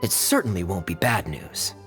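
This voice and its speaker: A suave young knight from a video game, teasing and amused. He drawls with extremely animated intonation over faint background music. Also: low-pitched, normal speed. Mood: reassuring. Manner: smooth-talking, teasing, drawling. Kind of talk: video game character acting.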